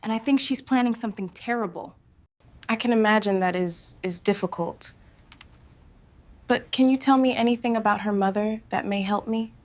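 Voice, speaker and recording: A woman's voice: a little high, normal speed, natural voice. A woman playing a bold young superhero-comic character in a motion comic. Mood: frank, determined.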